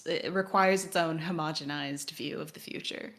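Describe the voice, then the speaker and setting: A woman's voice: sits low, fairly even intonation, normal speed, fairly bright tone. A woman co-hosting a podcast, giving casual analysis in an emphatic, wry way and acting out imagined quotes. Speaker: podcast co-host.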